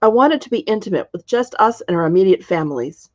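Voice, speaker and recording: A woman's voice: medium pitch, animated, fast talker, darkish tone, highs cut off, lightly acted. A woman reading the part of an earnest young princess planning her wedding in a storybook.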